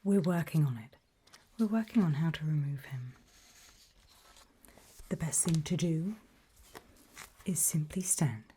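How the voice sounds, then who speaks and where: A woman voicing a calm, ominous gatekeeper in a hushed, breathy ASMR roleplay, speaking mostly in deliberate whispers with pauses. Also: low voice, exaggerated voice. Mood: quietly instructive. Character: calm, ominous female gatekeeper briefing travelers. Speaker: ASMR performer.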